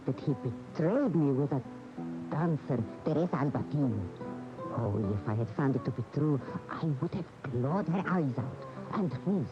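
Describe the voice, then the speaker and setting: An actress playing a proud, wounded, jealous lover in a radio drama, speaking deliberately and dramatically. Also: female voice, sits low, expressive, over music. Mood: jealous, fierce. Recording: dull AM radio tape.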